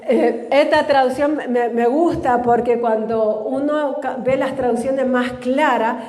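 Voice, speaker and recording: A woman pastor teaching a live church sermon. She reads scripture slowly and has the congregation repeat after her. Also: high-pitched, steady tone, darkish tone. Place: church in a large hall. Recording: echoey church hall with long reverb.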